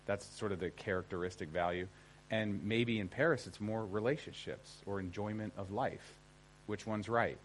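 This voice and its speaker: A man's voice: lowish voice, fairly even intonation, moderate pace, slight background noise, low-quality recording. Conversational American man teaching a seminar. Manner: conversational, emphatic, often checks in with listeners. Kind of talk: informal seminar lecture to an audience.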